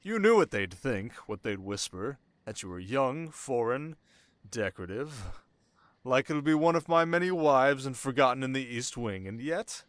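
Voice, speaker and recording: A man playing a young, sharp, commanding desert king in an ASMR roleplay, speaking in a measured, teasing, possessive way with dramatic pauses. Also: mid-range voice, no room sound. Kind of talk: scripted ASMR roleplay addressed to the listener.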